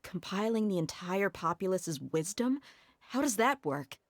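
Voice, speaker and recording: A woman's voice: mid-range voice, expressive, a little slow, dry recording, studio quality. A woman playing a frank, determined young female mercenary warrior in a video game, speaking emphatically. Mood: puzzled, reasoning. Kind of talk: video game character acting.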